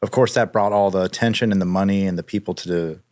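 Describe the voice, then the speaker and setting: A man's voice: low-pitched, fairly even intonation, quick pace, darkish tone, dry recording. A man from Miami, Florida, speaking as an interview guest, telling casual anecdotes in informal, slangy language. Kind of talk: casual conversational storytelling.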